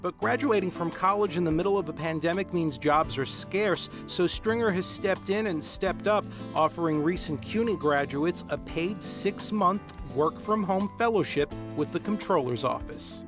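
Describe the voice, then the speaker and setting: High-voiced male news reporter. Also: moderate pace, few pauses, music in the background. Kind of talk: scripted news narration.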